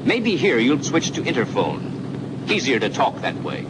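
A man's voice: mid-range voice, wildly swooping pitch, measured pace. A man narrating a 1940s military training film in scripted second person, explaining procedures in an instructive way. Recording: old film soundtrack with engine drone. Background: aircraft engine noise.

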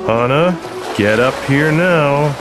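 An actor voicing a gruff male team leader who barks orders. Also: on the high side, expressive, slow, over music. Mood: commanding. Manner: barking orders.

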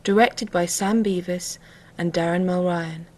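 A woman's voice: fairly low pitch, fairly even intonation, measured pace, darkish tone. A woman announcer reading scripted credits for an audio drama.

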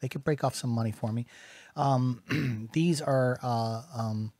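A man's voice: mid-range voice, a little slow, darkish tone, no room sound. An American man in his forties talking casually and emphatically to camera as a vlog host, in an earnest, conversational way. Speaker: YouTube host. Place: small dim home studio room, indoors. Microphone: studio microphone on boom arm, close.

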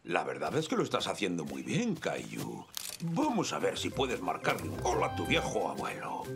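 Creaky-voiced, jovial old grandpa coach. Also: male voice, deep voice, wildly swooping pitch, normal speed, music in the background, full character voice. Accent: Castilian Spanish. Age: older. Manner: encouraging, storytelling. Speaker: grandfather and children's soccer coach. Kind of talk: animated cartoon dub acting.

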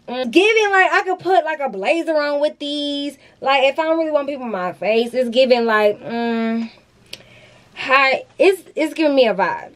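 A young woman hosts a laid-back haul vlog from her walk-in closet, talking straight to her viewers. She sounds bubbly and slangy, and her voice sits a little high. The camera is close in front of her. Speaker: beauty vlogger host. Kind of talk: casual chatty haul vlog. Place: walk-in closet with shoe shelves, indoors. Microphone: camera in front at close range.

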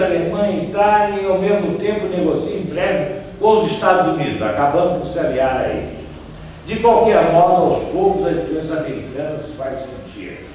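An older Brazilian man giving a seated, conversational history lecture, pausing at times to search for names. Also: high voice, a little mellow, far from the mic, a little hiss or hum. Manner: conversational, gestures as he talks, trails off searching for names. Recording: echoey room, voice from off-mic.

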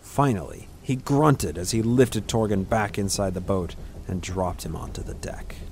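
A man's voice: low voice, measured pace, creaky, a little mellow, a little hiss or hum. A man narrating a scripted fantasy audiobook in a dramatic storytelling style.

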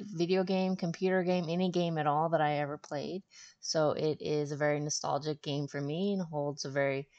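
A woman with a low-pitched voice hosts a video game walkthrough. She talks informally and explains things as she goes, in casual commentary.

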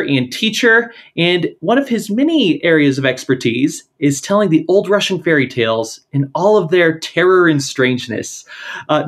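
A male podcast host with a lively, animated voice that rises and falls freely. He opens with a prepared intro, then shifts into relaxed conversation with his guest. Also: on the high side. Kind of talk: scripted intro then casual interview.

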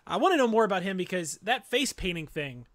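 A man's voice: high-pitched, very animated, quick pace, a bit bright, no echo. A middle-aged man co-hosting a podcast, chatting casually and joking while he recaps, on a studio mic from home. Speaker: podcast co-host. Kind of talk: casual chat. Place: home room, indoors. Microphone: studio mic on a video call.

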